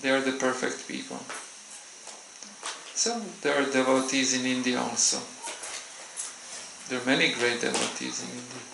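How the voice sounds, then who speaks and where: A man giving a gentle, unhurried devotional talk in a temple, pausing often as he answers questions. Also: mid-range voice, flat delivery, creaky, noisy background. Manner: gentle, unhurried, with frequent hesitations. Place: temple hall. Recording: roomy hall echo.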